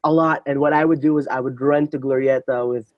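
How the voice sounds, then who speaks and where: A man's voice: medium pitch, fairly even intonation, a little fast, dry recording, lo-fi sound. A man, chatting casually as an interview guest, laughing often and using many fillers. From Philippines. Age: 20s. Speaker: guest, marketing head.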